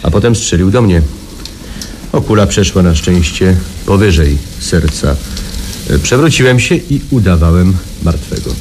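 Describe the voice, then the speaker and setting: A low male voice as a hardened backwoods guide in a radio play. He is a vengeful ex-robber who speaks deliberately and haltingly. There is some noise on the old recording. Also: animated, darkish tone. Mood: grim recollection. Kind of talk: Polish radio drama acting.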